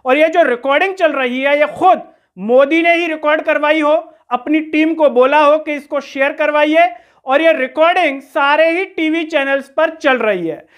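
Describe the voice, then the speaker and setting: A man's voice: very high voice, moderate pace, fairly bright tone. A man presents political commentary in a rhetorical, questioning, accusatory way. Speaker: news commentary host. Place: small room indoors.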